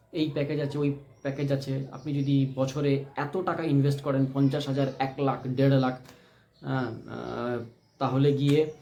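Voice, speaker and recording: A man telling a personal story unscripted, in a deliberate, emphatic way that repeats phrases for stress. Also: medium pitch, warm tone, a little hiss or hum. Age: adult, married. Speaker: vlogger telling a personal experience. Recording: echoey room.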